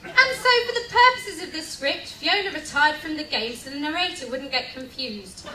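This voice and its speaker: High, animated woman narrating comedy. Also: normal speed. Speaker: narrator of a radio play. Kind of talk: scripted comic narration, amateur live performance. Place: public lounge on a cruise ship. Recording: echoey distant mic, crowd and noise.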